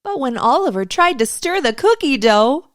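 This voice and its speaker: A woman reading a picture book aloud to young children as a storytime host, in an expressive, deliberate way. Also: high-pitched, no room sound, clean studio sound.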